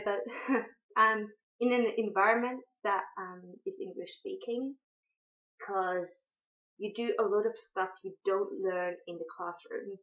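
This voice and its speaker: A young woman from Finland with a Finnish accent, speaking unscripted to camera, hesitant, with many ums and restarts. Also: medium pitch. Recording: dull lo-fi sound.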